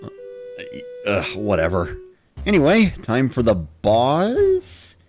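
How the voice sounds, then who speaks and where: A man narrating in the first person with an expressive, dramatic delivery. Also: mid-range voice, slow pace, faint music behind. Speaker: narrator of a dramatic reading series.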